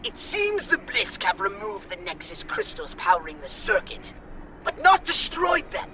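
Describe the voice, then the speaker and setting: A man playing an excitable, scheming, pompous alien commander in a video game, verbose and theatrical, prone to bursting into shouts. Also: very high-pitched, unhurried, heavy background noise, full character voice. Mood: urgent instruction. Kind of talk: animated video game acting.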